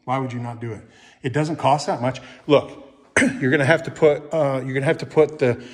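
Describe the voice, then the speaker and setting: A man's voice: medium pitch, steady tone, brisk. Folksy man touring a house. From Kentucky. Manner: chatty, folksy, salesy asides with restarts. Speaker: real estate agent touring a house. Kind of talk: casual walkthrough commentary. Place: empty house, indoors with some steps outside.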